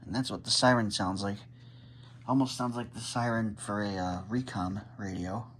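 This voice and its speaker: A man's voice: fairly low pitch, fairly even intonation, normal speed, a bit bright, slight background noise. A man casually talking through unboxing and setting up a radio while filming on a handheld phone, muttering as he works. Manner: chatty, mutters while handling gear. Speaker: radio hobbyist vlogger. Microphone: handheld phone camera.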